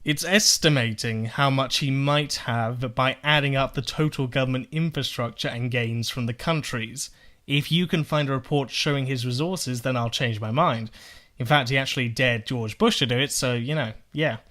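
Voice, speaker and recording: A man's voice: medium pitch, normal speed, a bit bright. A young man giving sarcastic, profane political commentary, firing off rhetorical questions as he rebuts clips. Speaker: political video essayist.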